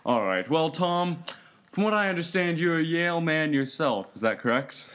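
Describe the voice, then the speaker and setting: A man's voice: fairly high pitch, very animated, normal speed, a bit bright, clean tone. A man playing a chummy, pushy 1920s college radio host with showy, folksy announcer patter. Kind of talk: 1920s radio acting, scripted hosting.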